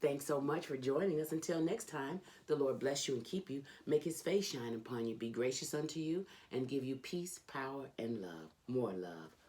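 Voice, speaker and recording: A woman reading a children's storybook aloud as host, with theatrical, emphatic delivery and drawn-out phrases. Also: rumbling low, lively intonation, a little mellow.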